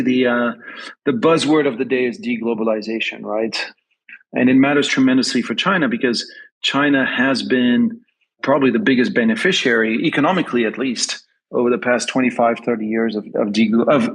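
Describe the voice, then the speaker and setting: Frenchman w a light accent, kinda husky, mulls it over out loud in an interview. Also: mid-range voice, a little fast, poor audio. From France. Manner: thoughtful, repeats words while thinking aloud. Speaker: guest investment strategist. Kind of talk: casual interview answers.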